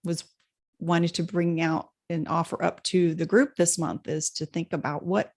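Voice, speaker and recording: Woman, co-host, casual spiritual chat, lowish voice, hesitant with fillers. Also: level tone, normal speed, a little mellow. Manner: hesitant, stumbles over names, many fillers. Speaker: podcast co-host.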